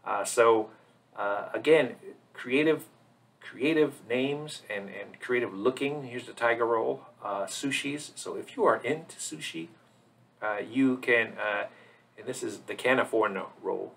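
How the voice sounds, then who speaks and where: A man with a husky, slightly gravelly voice giving a casual, rambling tour narration as a vlog host, with hesitant pauses. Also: medium pitch, fairly bright tone, a little hiss or hum. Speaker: travel vlogger, host.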